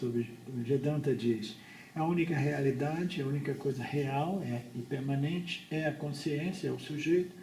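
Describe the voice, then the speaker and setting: Mellow Brazilian man teaching in an echoey room. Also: medium pitch. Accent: Brazilian Portuguese. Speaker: Vedanta teacher. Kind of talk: informal spiritual teaching to a small group. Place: room with a small group. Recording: echoey room with background noise.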